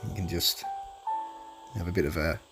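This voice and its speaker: Male speedrunner, lowish voice, slightly nasal from a cold, laid-back rambling commentary over clear game music. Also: normal speed. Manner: relaxed, rambling, frequent ums and uhs. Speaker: speedrunner commentating his run. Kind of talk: casual live commentary over gameplay. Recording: game music playing behind the voice.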